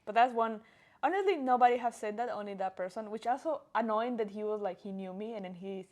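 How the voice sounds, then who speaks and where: A young woman with a Colombian accent chatting casually as a podcast co-host, animated and expressive, with lots of "like". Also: mid-range voice, a little fast. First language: Spanish. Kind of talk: casual chat, personal anecdotes.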